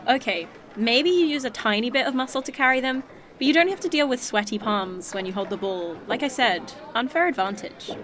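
A woman's voice: fairly high pitch, animated, fairly quick, a bit bright, slight background noise. An actress playing a blunt, joking and curious woman in the 1980s, in an indie audio drama. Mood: complaining.

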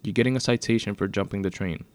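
A man's voice: on the low side, fast, close to an everyday voice. A man voicing a polite, by-the-book male police officer with formal, procedural speech in a narrated story. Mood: firm, formal.

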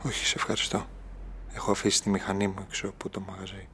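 A timid, lovesick man in a radio play. His voice is on the low side, hesitant and apologetic, with faint street and vehicle noise around him. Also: completely flat delivery, pushed and bright. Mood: subdued, resigned. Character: shy, apologetic young man pining for a woman. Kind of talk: radio drama acting.

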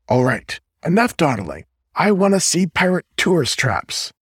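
An eager male golden retriever from an animal storybook, read by a man. He is playful and excitable, speaking expressively. The recording is dry. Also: on the high side, unhurried, breathy edge, dry recording, voice pushed up, heavily acted.

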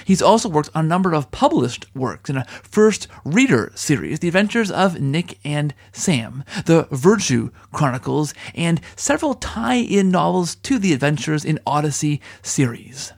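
A man's voice: a little high, very animated, moderate pace, gravelly, few pauses. A man hosting an interview podcast, speaking in an upbeat, earnest and effusive way.

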